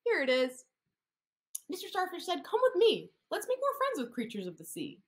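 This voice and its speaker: A woman's voice: very high-pitched, huge pitch swings, moderate pace. A woman in her thirties, an occupational therapist, reading a picture story aloud to young children and coaxing them to copy hand gestures, recorded indoors on a laptop webcam. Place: indoor room.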